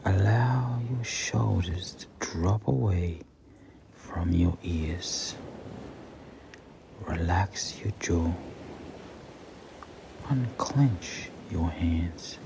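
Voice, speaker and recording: A man guiding a meditation in a soothing, breathy near-whisper with long pauses. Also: deep voice. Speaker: meditation guide. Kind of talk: slow guided meditation. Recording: clearly audible background ambient noise.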